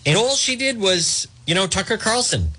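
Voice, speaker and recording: A man delivers opinionated political commentary as a talk show host. His voice sits a little high and moves around a lot in pitch. He talks in halting, repetitive bursts, circling back on his points as he addresses his listeners. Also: big pitch swings, pushed and bright, breathy edge.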